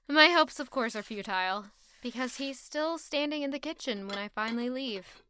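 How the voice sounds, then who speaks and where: Bright, bitter young castle woman. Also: high-pitched, lively intonation, normal speed, clean tone, no echo, clean studio sound. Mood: tense narrating. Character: stubborn, bitter young castle servant woman, guarded and irritated. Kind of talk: audio drama acting with first-person narration.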